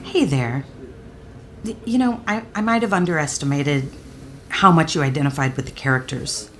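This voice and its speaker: A woman's voice: sits low, moderate pace, lightly acted. A woman playing a sympathetic, slightly awkward friend in an audio drama, hesitant and reassuring. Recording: faint ambient noise.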